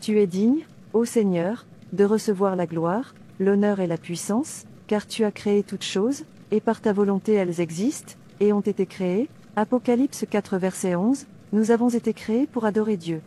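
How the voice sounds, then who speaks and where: Lady playing a pious defiant cartoon princess, preachy and earnest, very soft mellow tone, slight background noise. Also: mid-range voice, moderate pace, few pauses, natural voice. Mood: firm, devout. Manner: declamatory, earnest, citing scripture. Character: devout, defiant princess preaching her faith. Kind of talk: sermon-like dubbed cartoon dialogue.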